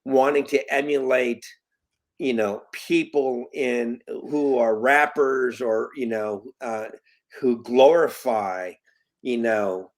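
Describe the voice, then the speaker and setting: A man in his late fifties talks through an interview as a concerned parent. His delivery is halting and earnest, full of restarts and fillers, and turns emphatic at points. His voice sits in an ordinary middle pitch. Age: 50s. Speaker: guest, parent. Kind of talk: conversational interview.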